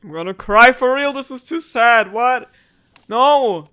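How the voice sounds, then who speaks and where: A man's voice: very high-pitched, animated, unhurried, bright, forceful tone, clear, resonant tone. A man streaming a let's play, reacting aloud in an emotional, exclamatory way. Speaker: gaming streamer.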